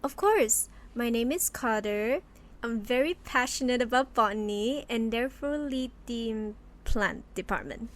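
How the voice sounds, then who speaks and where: Woman acting, prim female underworld botanist, high voice, excitable, snarky, courteous surface, audio roleplay. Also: a little slow. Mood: polite, curious.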